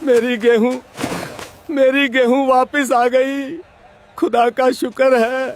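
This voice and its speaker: A man playing a poor, humble elderly farmer, speaking emotionally in a scripted animated moral story. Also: very high voice, takes it slowly, a little hiss or hum. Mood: grateful, relieved.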